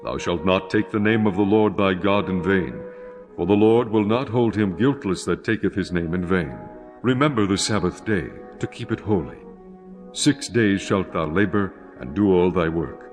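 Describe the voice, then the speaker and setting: A man narrating scripture as a solemn, scripted dramatic reading in measured phrases. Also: sits low. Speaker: audio Bible narrator. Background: music.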